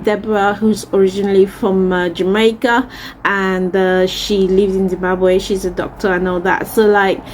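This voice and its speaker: A woman's voice: mid-range voice, a little mellow, low-quality recording. Effusive woman vlogger with background noise. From Central Africa, Congolese and Cameroonian. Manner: effusive, emphatic, repeats words for emphasis. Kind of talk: casual chat to camera.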